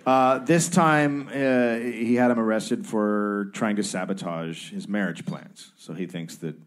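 A man's voice: fairly low pitch, steady tone, a little slow. A man hosting a live comedy podcast, reading out a history story from his notes, stumbling over foreign names and joking along the way. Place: stage before a live audience.

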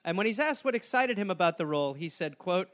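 A man's voice: sits high, fast. A man hosting a comedy podcast, telling a story in a jokey way and slipping into silly voices. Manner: animated, jokey, slips into silly put-on voices. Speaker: podcast host.

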